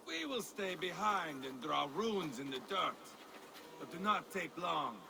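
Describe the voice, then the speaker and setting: An actor playing a sadistic, gleeful middle-aged Norse warrior in a video game, gravelly and theatrical, taunting and unstable. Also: male voice, big pitch swings, a little slow, heavily acted. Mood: menacing. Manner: theatrical, swinging from low menace to roaring. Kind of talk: dramatic video game acting. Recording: noisy game ambience behind the voice.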